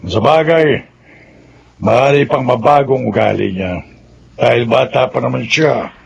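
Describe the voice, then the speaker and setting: A man playing a thoughtful, agreeable old grandfather with a gravelly voice in a radio drama. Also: medium pitch, a little slow, highs cut off, full character voice. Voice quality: gravelly, husky.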